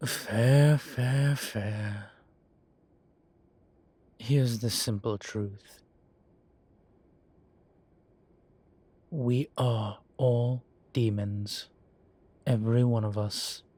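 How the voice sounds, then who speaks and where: A man's voice: medium pitch, steady tone. A man playing a droll, proud, genteel demon professor who lectures a student in a scripted roleplay audio. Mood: amused, proud. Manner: unhurried, genteel, gently lecturing. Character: droll, proud, urbane male demon professor, centuries old. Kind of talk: scripted roleplay audio, one-sided conversation.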